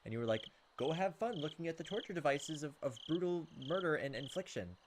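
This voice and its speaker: A man's voice: on the high side, big pitch swings, brisk, a little hiss or hum. A man hosting a gaming video, reading visual novel text aloud dramatically with joking, exasperated asides. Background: game music and cricket sounds.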